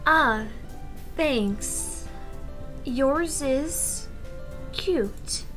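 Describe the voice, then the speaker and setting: A woman voicing a prim, shy high-school girl poet in a visual novel, formal in speech and stammering when flustered. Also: expressive, extremely slow, a bit bright, soft background music, full character voice. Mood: hesitant, apologetic. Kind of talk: visual novel voice-over read aloud.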